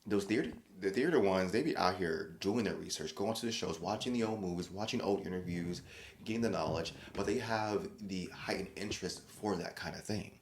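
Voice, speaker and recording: A man hosting a solo podcast, talking casually in a rambling, chatty way with many restarts and lively quoted voices. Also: low voice, fairly quick, reverberant, slight background noise. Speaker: podcast host.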